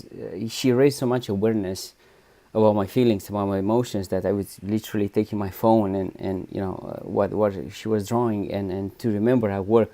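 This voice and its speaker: A man's voice: on the low side, vocal fry, mellow. A Romanian-born man with a Romanian accent giving an unscripted testimonial as a therapy client, hesitant, restarting often and tagging sentences with "right?". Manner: hesitant, many restarts and fillers, frequent "right?" tags.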